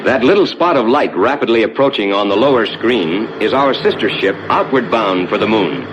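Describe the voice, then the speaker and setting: An American man playing a rocket ship captain, narrating a scripted 1950s ride to his passengers in a measured, reassuring, explanatory way. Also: lively intonation, natural voice. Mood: calm, reassuring. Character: assured male rocket ship captain guiding passengers through space. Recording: dull 1950s soundtrack with loud rumbling noise.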